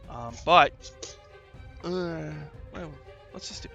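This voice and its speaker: A game master with a bright voice at a middling pitch runs a tabletop fantasy game. The delivery is casual and improvised, moving between narration and talk with players, with faint music underneath. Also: slow pace, creaky, dull audio. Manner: casual, conversational.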